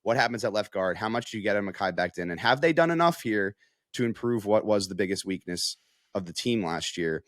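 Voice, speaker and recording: A man's voice: on the low side, expressive, brisk, a bit bright, dry recording, clean studio sound. An American man in a casual sports analysis chat as a reporter, conversational and peppering his points with "like" and "you know". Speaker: sports reporter, podcast guest.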